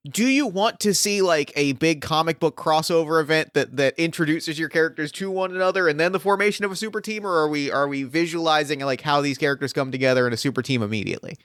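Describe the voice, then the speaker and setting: Male game master and host, enthusiastic, crisp delivery, close dry sound. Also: on the high side, fast talker, few pauses, a bit bright, no echo. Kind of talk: guiding a tabletop roleplaying game.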